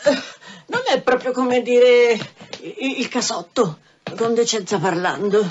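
Actress as a slow, flustered brothel madam. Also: female voice, a little high, lightly acted. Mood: evasive, fussy. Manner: halting, stammering, colloquial. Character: flustered, squeamish brothel madam, evasive and apologetic. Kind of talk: radio drama acting. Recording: dull, noisy radio drama recording.

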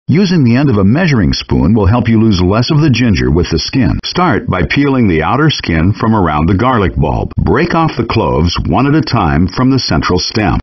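Calm man giving lo-fi recipe instructions. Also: lowish voice, breathy edge. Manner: calm, even instructional delivery. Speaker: in-game cooking instructor. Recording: dull lo-fi game audio rip.

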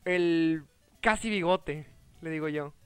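A man's voice: on the high side, takes it slowly, smooth, dry recording, faint music behind. A young adult man with a Mexican accent, a playful and dramatic host chatting casually while he plays a video game. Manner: playful, dramatic exclamations and mock voices. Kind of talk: casual gameplay chat. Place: indoor room, seated on a webcam call.

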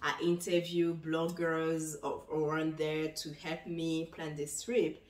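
A low-pitched woman tells her travel story to the camera in a slow, reflective way with many pauses. She sits in a small bright room. The sound is roomy and echoey, since the camera picks her up from across the room. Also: flat delivery, recorded from a distance. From Benin, raised in France. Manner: reflective, gestures while talking, many pauses. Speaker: travel vlogger. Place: small bright room indoors, seated in armchair.

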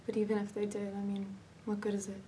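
A woman performing a dramatic monologue as a fragile, haunted young woman, speaking haltingly with hesitant pauses and repetitions. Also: medium pitch, monotone, dark tone, recorded from a distance, slight background noise, lightly acted. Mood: numb, grieving.

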